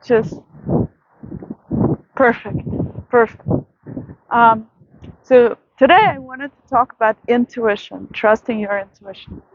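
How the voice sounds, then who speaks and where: A woman vlogger giving a soft, reflective late-night monologue outdoors in a city, with many pauses and fillers. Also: on the high side, steady tone, lo-fi sound, a little hiss or hum.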